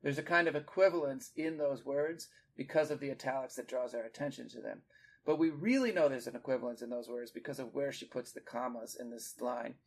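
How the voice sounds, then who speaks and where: A man's voice: mid-range voice, fairly quick, creaky. A man hosting a literary discussion, explaining like a teacher in an articulate way with emphasis on key words. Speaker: podcast host and literature teacher.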